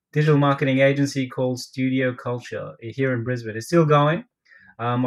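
Australian male co-host, wry self-mocking humor, loose podcast banter over a call. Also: medium pitch. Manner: self-deprecating, jokey. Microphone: remote call.

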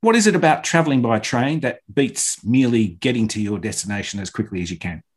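Measured male radio interviewer. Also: mid-range voice, animated. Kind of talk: prepared interview questions.

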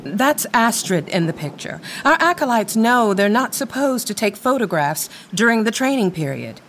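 A firm woman who runs a spiritual collective in an audio drama. Her voice sits fairly high and moves with lively inflection. She speaks formally and evasively, over some background noise. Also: moderate pace, rough recording. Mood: defensive. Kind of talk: audio play acting.

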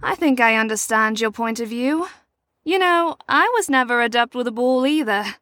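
Older lady chaperone, audio drama, female actor: high-pitched, very lively intonation, formal and sweet with an edge of menace. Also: normal speed, dry recording, clean studio sound. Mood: confiding. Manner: theatrical, genteel, formal. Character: genteel older woman chaperone, sweet but faintly menacing.

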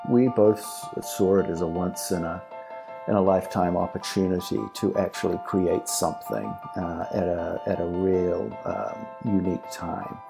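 A man in his fifties from New Zealand, a company co-founder, speaking haltingly as an interview guest with many fillers. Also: sits low, flat delivery, creaky, over music. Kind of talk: interview conversation.

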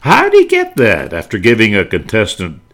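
A man's voice: lowish voice, huge pitch swings, moderate pace, fairly bright tone. An older man presenting a nostalgic talk, reminiscing with theatrical emphasis. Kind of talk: nostalgic narrated talk on old radio.

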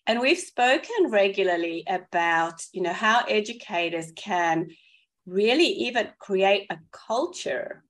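South African woman co-hosting a podcast. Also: medium pitch. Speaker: podcast co-host. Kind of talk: casual chat.

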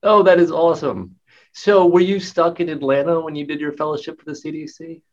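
A man's voice: fairly high pitch, steady tone, fairly quick. A man hosting an interview, upbeat and enthusiastic, introducing his guest and asking questions casually. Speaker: interview host. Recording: dull lo-fi sound.

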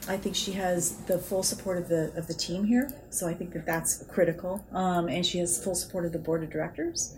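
Woman exec in an interview, slightly low voice, talking off the cuff, faint background noise. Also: fairly even intonation, fairly quick, few pauses. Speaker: interviewee, departing nonprofit chief executive. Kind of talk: conversational interview answers.

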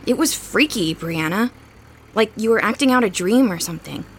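A woman's voice: mid-range voice, animated, normal speed, slightly rough. Caring, casual young woman. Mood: concerned. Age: young adult. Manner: casual, considerate. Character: caring, friendly young woman, a home helper. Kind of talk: naturalistic audio drama acting.

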